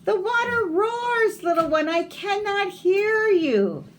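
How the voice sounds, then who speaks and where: A woman's voice: extremely high pitch, animated, takes it slowly, slight background noise, pitched above the performer's own voice, exaggerated voice, low-quality recording. A woman reading aloud who voices a stern, distracted mother scolding her child.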